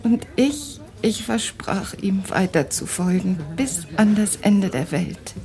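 An actress playing an anguished young refugee wife in a radio play, speaking haltingly and intensely, at times breathy. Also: female voice, medium pitch, animated, dark tone. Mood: haunted recollection. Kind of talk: dramatic radio play acting.

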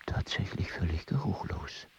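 A proud, bitter scholar who murmurs and whispers his dark thoughts to himself in a very deep, gravelly voice. Dull, muffled audio with music underneath. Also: animated, normal speed, slight background noise. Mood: defiant musing. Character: brooding male mathematician weighing suicide by poison. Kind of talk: radio play acting.

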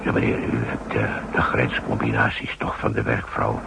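A man playing a courteous, halting safecracker who considers himself an artist, in an old radio play. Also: rumbling low, monotone, creaky, heavily acted. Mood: wistful, proud. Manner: deferential, hesitant, halting with verbal tags. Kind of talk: 1960s Dutch radio play acting. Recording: noisy old radio drama recording.

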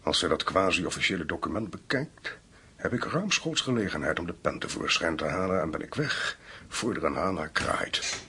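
An actor in a radio play playing a calculating schemer who poses as a polite, hesitant priest. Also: male voice, sits low, animated, moderate pace, pushed and bright, husky, exaggerated voice. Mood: quietly confident.

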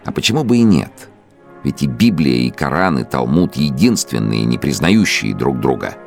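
Very deep, gravelly brooding dictator. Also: male voice, sing-song, normal speed, faint music behind, exaggerated voice. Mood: grandiose, fanatical. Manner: brooding monologue swelling into declamation. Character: embittered megalomaniac dictator brooding over old grievances. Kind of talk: radio drama interior monologue.

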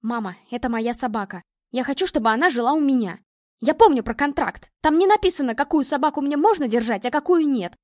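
A woman's voice: on the high side, mellow, no room sound, clean studio sound. A woman voicing a stubborn young girl who pleads and protests emphatically in a story.

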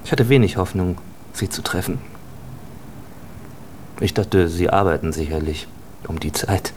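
Radio drama part: an awkward, reserved male, low voice, terse and blunt, faint ambience behind. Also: unhurried, a little mellow, slight background noise. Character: reserved, awkward, blunt man talking with a woman. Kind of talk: German radio drama acting.

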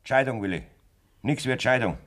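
An actor playing a blunt, scheming middle-aged farmer with a Bavarian accent in a German radio play. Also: male voice, mid-range voice, animated, normal speed, a bit bright, close to an everyday voice. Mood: cold, defiant. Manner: blunt, domineering. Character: hard, scheming farmer demanding a divorce from his wife.

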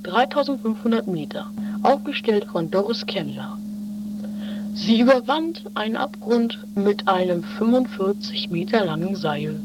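Woman playing a solemn, lively-pitched record announcer. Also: a little slow, natural voice. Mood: proclaiming. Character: solemn announcer reading out a world record entry. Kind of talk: scripted announcement in amateur radio play. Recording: noisy home-made 1980s radio play recording.

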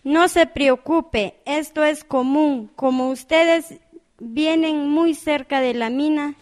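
A woman with a Guatemalan accent acting a polite, matter-of-fact doctor in an amateur radio drama, speaking formally and deliberately. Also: high-pitched. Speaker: actor in community radio drama.

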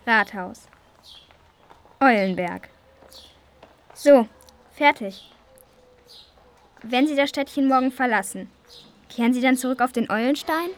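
An actress playing a helpful, sympathetic young schoolgirl in a children's radio play, speaking earnestly. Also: fairly high pitch. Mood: businesslike, helpful.

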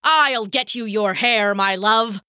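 A woman's voice: medium pitch, takes it slowly, bright, forceful tone, clean tone, dry recording, clean studio sound. A woman voicing a hot-tempered male thunder god in a storybook myth, in a roaring, blustering manner. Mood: upset.

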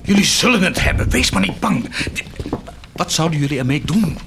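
Imperious lord, acted by a man: high, swooping voice, fervent and impatient, commanding radio-play delivery. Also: expressive, moderate pace, background noise, natural voice. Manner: commanding, insistent, abrupt shifts from soft to forceful. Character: imperious nobleman insisting on brotherhood, impatient and fervent. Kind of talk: radio drama acting.

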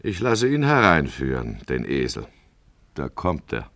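An actor playing a weary, sardonic town judge in a radio play, shifting between confiding narration and declaimed appeals for peace. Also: male voice, rumbling low, wildly swooping pitch, measured pace, vocal fry, fairly bright tone, no room sound, heavily acted. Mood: wry, resigned. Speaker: judge and first-person narrator.

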